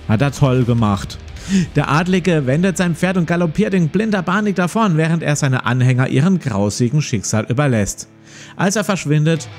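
A man's voice: mid-range voice, a little mellow. Man reading a gamebook over guitar music. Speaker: let's play host. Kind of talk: gamebook read-aloud with casual commentary. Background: plucked guitar music.

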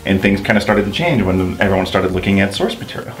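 A man's voice: sits low, expressive, quick pace, faint music behind. A man in his thirties chatting casually as co-host of a video game show. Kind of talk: casual scripted-topic chat.

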